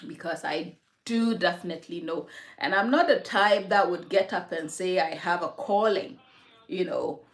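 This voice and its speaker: A woman's voice: fairly low pitch, normal speed. A woman from Ghana hosting a casual livestream from her home, talking reflectively about faith and answering viewers' comments. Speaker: livestream host.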